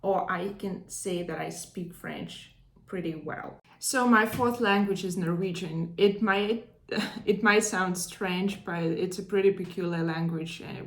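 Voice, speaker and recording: A woman in her thirties from northern Russia who speaks English with a Russian accent, calmly explaining language skills as an English teacher, with the odd hesitant filler. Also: lowish voice, roomy sound. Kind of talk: language lesson to camera.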